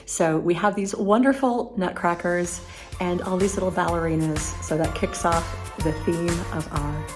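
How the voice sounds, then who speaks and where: A woman's voice: on the low side, unhurried. A woman hosting a chatty home decorating vlog, talking in an enthusiastic, gushing way. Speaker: vlog host. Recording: music playing behind the voice. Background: music.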